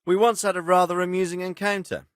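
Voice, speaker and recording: An actor playing a stern but fatherly middle-aged nobleman and commander in a video game, speaking with measured, formal authority. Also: high voice, little pitch movement, a bit bright, clean tone, no echo, clean studio sound. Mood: reminiscing. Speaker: feudal lord and military commander. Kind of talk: video game dialogue acting.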